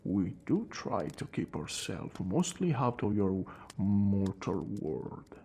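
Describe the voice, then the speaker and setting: A man playing a courteous, calm and wry centuries-old vampire in an ASMR roleplay, speaking in a hushed voice that drifts into whispers with theatrical pauses. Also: on the low side, a little hiss or hum, exaggerated voice. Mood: explaining, guarded. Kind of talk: ASMR roleplay addressed to the listener.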